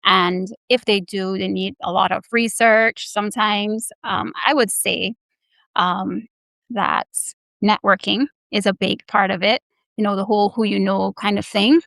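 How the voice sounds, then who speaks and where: A woman's voice: medium pitch, steady tone, measured pace, creaky, a bit bright, no echo. A young woman from the Bahamas and Barbados, answering interview questions earnestly with many hesitant fillers. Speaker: guest, anesthesiology resident.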